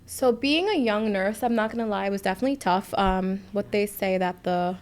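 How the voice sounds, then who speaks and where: A woman's voice: medium pitch, a little fast, a little mellow. Woman, thirties, mid-range voice, podcast co-host, casual storytelling, candid, informal, slangy. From United States. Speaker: podcast co-host, nurse practitioner. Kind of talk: casual chat, personal storytelling.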